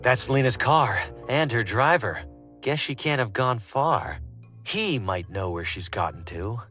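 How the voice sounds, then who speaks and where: A man's voice: mid-range voice, lively intonation, unhurried, sharp tone, faint music behind. A man playing a scheming, embittered would-be novelist in an adventure game, talking slyly and hammily to himself. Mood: wry, scheming. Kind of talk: point-and-click game character monologue.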